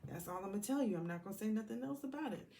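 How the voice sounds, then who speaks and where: A woman's voice: mid-range voice, expressive, racing, gravelly, mellow, a little hiss or hum. An American woman giving a casual, rambling tarot card reading in a blunt, sassy, colloquial way.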